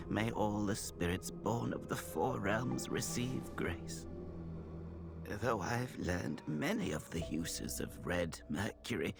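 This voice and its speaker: Slow, creaky-voiced solemn healer. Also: male voice, on the low side, full character voice. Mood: solemn, regretful. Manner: formal, measured, gentle phrasing. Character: courteous, solemn male Taoist healer of a temple. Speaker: non-player character in a video game. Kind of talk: dramatic video game dialogue. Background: background music.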